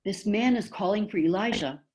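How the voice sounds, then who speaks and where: A woman's voice: mid-range voice, a little fast, darkish tone, lightly acted. A woman reading the parts of crowd members and priests in a dramatic scripture reading. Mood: curious. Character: mocking crowd, bystanders and priests of biblical Jerusalem. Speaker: volunteer reader in a church Passion reading.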